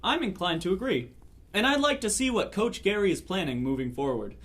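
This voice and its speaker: A man's voice: sits high, expressive, fairly quick, fairly bright tone. A man playing an exasperated sportscaster caught between squabbling exes in a comedic table reading, protesting with mock diplomacy. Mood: mock-diplomatic.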